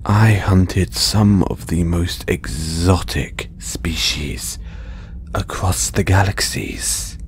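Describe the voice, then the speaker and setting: A man playing a condescending, possessive alien trophy hunter in an audio roleplay, speaking deliberately in breathy half-whispers with long pauses. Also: sits low, a little hiss or hum, full character voice. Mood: smug, menacing. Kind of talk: slow audio roleplay monologue to listener.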